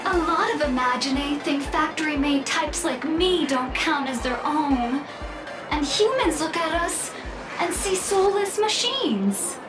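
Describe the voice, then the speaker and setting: A woman voicing a gentle, earnest female robot in a video game, speaking serenely. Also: sits high, lively intonation, measured pace, echoey room, recorded from a distance, music in the background. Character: gentle female robot, earnest factory-made outcast who found belonging. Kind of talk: scripted video game acting.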